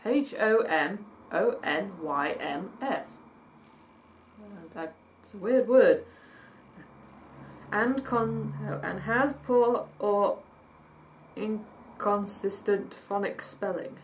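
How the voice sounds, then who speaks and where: A woman vlogging with a husky voice that has not warmed up yet, chatting in a rambling way, reading points from a list and spelling words out letter by letter. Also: mid-range voice, drawn-out words. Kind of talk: casual vlog, reading points from a list and commenting. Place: at home by day, with traffic outside. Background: faint traffic.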